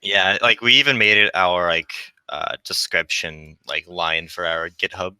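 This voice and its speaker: A man, a guest game developer, chatting casually in a halting way. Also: low-pitched, fairly even intonation, bright, forceful tone, clean tone, dry recording. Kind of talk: casual chat.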